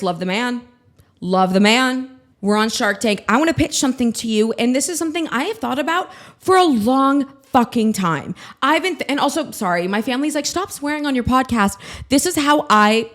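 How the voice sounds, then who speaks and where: A woman in her twenties hosting her own podcast, ranting in a scattered, self-mocking way and swearing freely. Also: fairly high pitch. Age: 20s. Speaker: podcast host. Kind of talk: casual solo podcast monologue.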